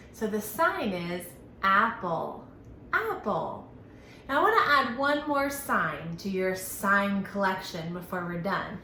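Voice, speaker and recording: A woman hosting a children's storytime lesson, speaking in an animated, sing-song, instructional way and enunciating carefully for young children. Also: mid-range voice. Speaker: children's library storytime host. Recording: roomy echo, faint background noise.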